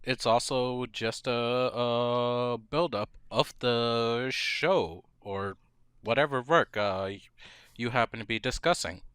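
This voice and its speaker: A man co-hosting a podcast, discussing casually in measured, hesitant phrases. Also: medium pitch, fairly bright tone, clear, resonant tone, no echo, clean studio sound. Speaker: podcast co-host.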